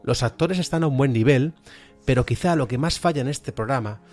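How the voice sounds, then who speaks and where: A male podcast presenter with a Spanish accent from Spain talks in a medium-pitched voice. He reviews audio drama with enthusiasm, as if from loose notes. Faint music plays behind him. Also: a little fast, a little mellow. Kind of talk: semi-scripted podcast review of radio drama. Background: background music.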